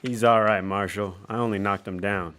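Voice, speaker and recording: A man playing a mean, domineering brother in a radio western, gruff and bossy. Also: fairly low pitch, steady tone, normal speed, fairly bright tone, lightly acted. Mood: angry. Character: mean, domineering, possessive brother, frontier brute. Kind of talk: old-time radio western acting.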